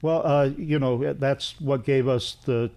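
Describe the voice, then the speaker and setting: A middle-aged or older man giving casual technical explanations as an interview guest, in a halting, rambling way with repetition. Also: medium pitch, steady tone, gravelly, a little mellow, smooth. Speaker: interview guest, loudspeaker engineer.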